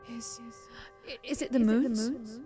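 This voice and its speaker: A woman's voice: on the high side, fairly even intonation, slow, dark tone. A woman as a timid young dragon over music. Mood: timid, troubled. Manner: hesitant, earnest, questioning. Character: timid, anxious young female dragon with mind powers. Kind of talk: fantasy audio drama acting. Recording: music bed under the voice. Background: background music.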